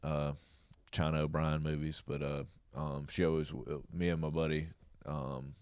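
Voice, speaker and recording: A middle-aged man with a deep, booming voice and a Southern Georgia accent, rambling through stories with chuckles and fillers as a podcast guest. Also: little pitch movement, normal speed, a little mellow, clear, resonant tone. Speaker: podcast guest, jiu jitsu coach. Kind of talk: casual interview storytelling.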